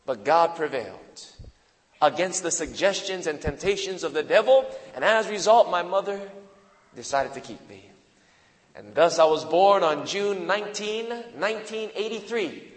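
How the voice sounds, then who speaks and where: High-voiced preacher in an echoing hall. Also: male voice, animated. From Hawaii. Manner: slow, dramatic pauses with deep drops for emphasis. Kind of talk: sermon with personal testimony. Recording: long reverb of a large hall.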